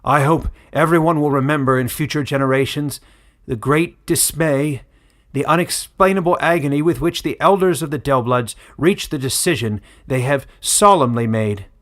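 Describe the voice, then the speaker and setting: A man's voice: fairly high pitch, moderate pace, fairly bright tone, voice pushed up, natural voice. Pompous old male elder , acted by a man, fantasy audiobook. Raised, declamatory, solemn and self-righteous speechmaking. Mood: solemn, grave.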